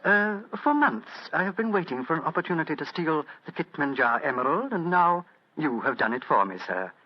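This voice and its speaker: An actor playing a coldly polite English butler who turns smug, murderous thief, in a radio mystery. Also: male voice, fairly high pitch, lively intonation, brisk. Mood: gloating. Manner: formal, elaborately polite menace. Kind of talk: 1940s radio mystery acting. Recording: dull, muffled old radio recording.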